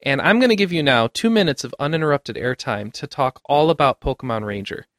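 A man's voice: medium pitch, lively intonation, fairly quick, fairly bright tone, no room sound, studio quality. A man hosting a casual panel podcast, moderating the conversation among the other speakers. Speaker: podcast host.

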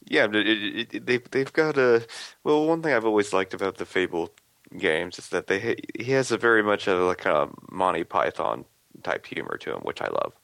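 Gravelly low-voiced man, halting. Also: big pitch swings, fairly bright tone, dry recording. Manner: halting, stumbles over his words. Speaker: podcast co-host. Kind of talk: casual chat.

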